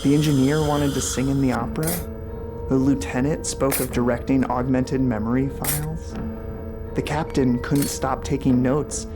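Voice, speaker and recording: A man playing a lonely, philosophical keeper of a space outpost in a sci-fi audio drama, speaking in measured, lyrical monologue. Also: medium pitch, steady tone, natural voice. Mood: solemn, musing. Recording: music bed under the voice.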